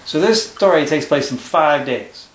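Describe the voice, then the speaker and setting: A man's voice: on the high side, lively intonation, unhurried, roomy sound, poor audio. A man teaching at home, explaining a storybook lesson to a child patiently and step by step. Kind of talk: casual classroom discussion.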